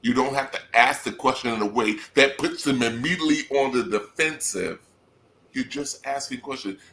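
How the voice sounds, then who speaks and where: A man with a deep bellowing voice, a parent giving emphatic advice in a casual panel discussion. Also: moderate pace, pushed and bright.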